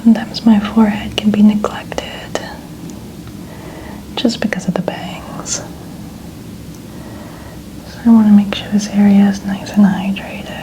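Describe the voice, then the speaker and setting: A woman whispering softly and breathily as she gently narrates an ASMR skincare routine step by step. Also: medium pitch, flat delivery, measured pace, pauses often. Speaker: ASMR creator. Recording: clearly noisy ambient background.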